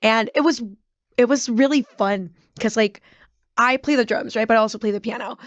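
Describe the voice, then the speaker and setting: A young woman from Mexico, Spanish her first language, speaking English as an interview guest, animated and giggly. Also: on the high side, normal speed, dry recording, muffled recording. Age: young adult. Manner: animated, giggly, acts out past reactions. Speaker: band drummer, interview guest. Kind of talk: casual interview chat.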